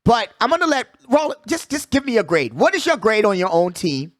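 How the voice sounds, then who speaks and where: A man's voice: high voice, big pitch swings, brisk, no room sound, clean studio sound. A man hosting a casual sports talk show, giving emphatic opinions with repeated phrases and slangy asides. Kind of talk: casual sports debate and commentary.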